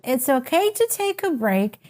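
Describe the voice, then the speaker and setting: A woman's voice: high-pitched, big pitch swings, a little slow. A woman from Ukraine, hosting a casual group podcast chat and affirming the others as she talks. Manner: warm, affirming listener with frequent murmurs, emphatic when making a point. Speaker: podcast host.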